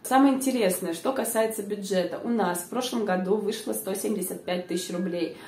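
A young woman with a hoarse voice, chatting casually to her viewers with lots of filler sounds, recorded on a lapel mic in a small room. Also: medium pitch, a little fast, a little mellow, roomy sound, distant mic, slight background noise. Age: young adult. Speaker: vlogger. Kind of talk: casual informative vlog.

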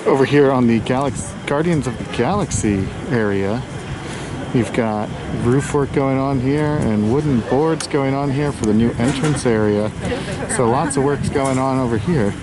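A man's voice: mid-range voice, normal speed, very noisy. A man casually narrating a walking tour outdoors at a theme park, rambling and offhand and correcting himself as he goes. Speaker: vlog host.

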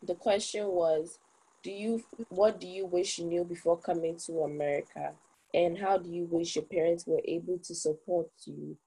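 A teenage girl hosting an interview on a video call, asking questions calmly. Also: fairly low pitch, normal speed, breathy edge, low-quality recording. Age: teens. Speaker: guest host. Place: small room indoors. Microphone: phone on video call.

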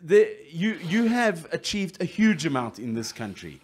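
A middle-aged man with a South African accent runs a radio interview. He is jokey and lively and stumbles through restarts. His voice sits a bit high for a man. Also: normal speed, slight background noise. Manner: jokey, lively, restarts a lot, plugs the station. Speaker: radio host.

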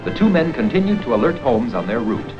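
A man reading scripted documentary narration for an educational history film in a formal, measured storytelling manner. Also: medium pitch, big pitch swings. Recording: dull 16mm film soundtrack with music.

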